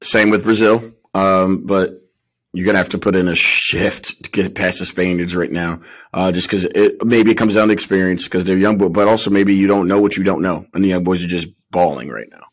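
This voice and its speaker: A man's voice: low voice, fairly even intonation, brisk. A man co-hosting a podcast, casually analysing sports in slangy speech, asking questions and thinking aloud.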